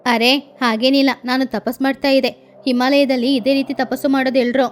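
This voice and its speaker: A woman's voice: high voice, little pitch movement, a little fast, close to an everyday voice. A woman voicing a fussy, theatrical adult female crow aunt in a cartoon, who wails and talks her way out of trouble. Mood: defensive. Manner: theatrical, wailing and self-justifying.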